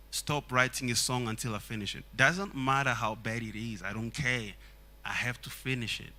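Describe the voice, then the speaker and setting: Songwriter from Congo, a man, non-native English, earnest and reflective, bright forward tone. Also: mid-range voice. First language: Swahili. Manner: earnest, reflective, many fillers. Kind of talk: casual panel talk.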